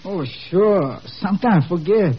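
An actor playing a small, eager young army recruit who talks in halting, artless broken English in a 1950s radio drama. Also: male voice, high voice, breathy edge. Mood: sheepish, eager. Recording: muffled old 1950s radio broadcast.

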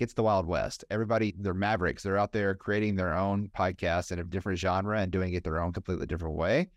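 Deep-voiced man hosting, very dry sound. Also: dry recording. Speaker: podcast host, interviewer. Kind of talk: casual interview chat.

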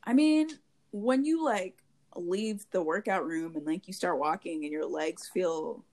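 A woman's voice: fairly low pitch, unhurried, a little mellow. A young woman co-hosting a casual chat podcast, giggly and rambling with lots of fillers, talking into a handheld mic held close. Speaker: podcast co-host. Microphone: handheld mic held to mouth.

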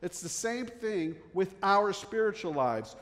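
Middle-aged North Jersey pastor, a bit higher voice, relaxed and chatty, long church-hall reverb. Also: male voice, a little slow. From northern New Jersey. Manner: conversational with fillers and restarts. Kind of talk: sermon.

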